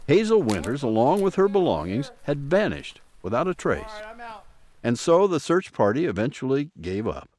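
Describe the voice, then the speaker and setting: A man's voice: medium pitch, a little mellow. A man narrating an audio drama in a suspenseful storytelling manner with dramatic emphasis. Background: music soundtrack.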